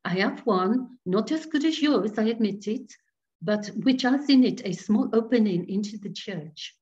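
A man's voice: high-pitched, lively intonation, highs cut off, pitched above the performer's own voice. A woman doing a timid little Breton priest. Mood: earnest, pleased. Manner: gentle, halting, formal phrasing.

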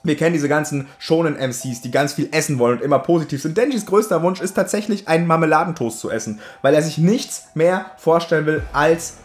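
A man talking casually and enthusiastically to viewers as a YouTube reviewer, in colloquial, slangy language peppered with English loanwords. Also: fairly high pitch. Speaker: YouTube anime and manga reviewer. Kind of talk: casual direct-to-viewer video commentary. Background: background music.

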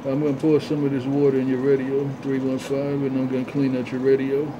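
A man's voice: medium pitch, completely flat delivery, fairly quick. A man talking as a CB radio operator, repeating call signs and catchphrases in a chanting way over a radio channel. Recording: noisy, dull CB radio audio.

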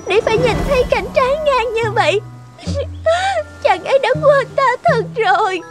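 A woman's voice: very high-pitched, normal speed, music in the background. A woman voicing a tearful, lovesick young maiden who laments her heartbreak in a cartoon fairy tale. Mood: anguished, betrayed.

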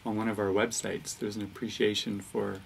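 A man's voice: lowish voice, little pitch movement, normal speed, creaky. Distant-miked man interviewing reflectively. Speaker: interviewer, community host. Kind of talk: conversational interviewing. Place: indoor set with curtain backdrop, seated. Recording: distant mic, background noise.

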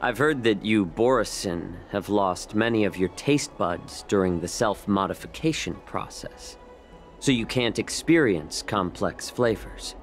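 Unhurried, sly, composed fox-man. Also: lowish voice, animated, faint music behind. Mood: amused, teasing. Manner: unhurried, polite, needling. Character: composed, sly male fox-man healer, gently mocking. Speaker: voice actor in a video game. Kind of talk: measured game character acting.

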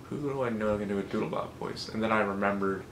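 A young man with a low, monotone voice, talking casually into a condenser mic in a small bedroom. He sounds loose and self-critical, and swears freely as he goes. A faint hiss of room noise sits under his voice. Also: quick pace, echoey room. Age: young adult. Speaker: rap battle creator. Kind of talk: casual behind-the-scenes vlog. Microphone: studio condenser mic.

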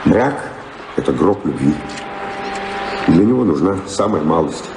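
Restless sailor lover in a radio play, super low and creaky, dramatic and defensive, roomy sound. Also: male voice, very animated, a little slow, background noise, full character voice. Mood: resentful, defiant. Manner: dramatic, rhetorical, impassioned. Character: restless seafaring adventurer, passionate, defensive lover dreading marriage. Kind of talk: theatrical radio play acting. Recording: roomy radio drama, loud music behind.

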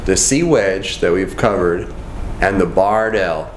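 A young man with a fairly low voice leads a language lesson, speaking slowly and evenly. He repeats each phrase with measured care. The room gives his voice a roomy echo, with a faint hum behind it. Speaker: language teacher. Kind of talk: slow, careful language lesson. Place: indoors, in front of a wooden wall.

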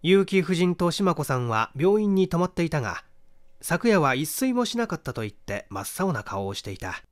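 A man giving a measured, expressive reading of a literary detective story as narrator. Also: on the high side. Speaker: audiobook reader, stage actor.

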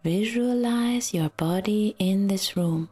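A woman guiding a relaxation meditation in a soft, soothing, half-whispered voice with long pauses. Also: medium pitch, husky, faint music behind. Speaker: yoga nidra guide.

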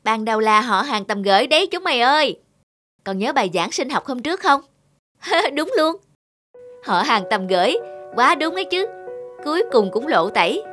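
An earnest cartoon schoolchild, with a fairly high voice and expressive delivery. Music plays clearly behind the dialogue. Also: female voice, moderate pace, pauses often. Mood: teasing. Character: earnest schoolchildren, classmates who tease and make up. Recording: music bed under the voice. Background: background music.

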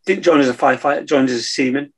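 A man's voice: medium pitch, fast talker, a little hiss or hum. A man in his sixties from Manchester with a northern English accent, casually telling his story as an interview guest. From Manchester, England. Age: 60s. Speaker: podcast guest, navy veteran. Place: room at home, video call.

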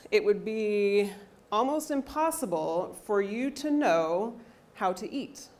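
A woman in her thirties teaches a health class in a university lecture hall, speaking into a handheld microphone. Her voice is loud and energetic, at a middle pitch. She keeps checking in with the audience as she explains. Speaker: athletic trainer and lecturer.

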